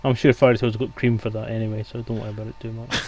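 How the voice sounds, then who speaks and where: A man's voice: lowish voice, flat delivery, very fast, dark tone. A man hosting a livestream, chatting casually with his guests and viewers as he wraps up. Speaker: livestream host.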